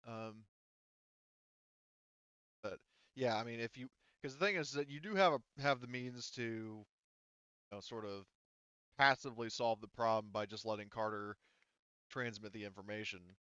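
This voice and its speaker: A man's voice: fairly low pitch, normal speed, pauses often, bright, forceful tone, smooth, dry recording, dull audio. A man running a tabletop game, talking casually as game master and explaining options in a rambling, hedging way.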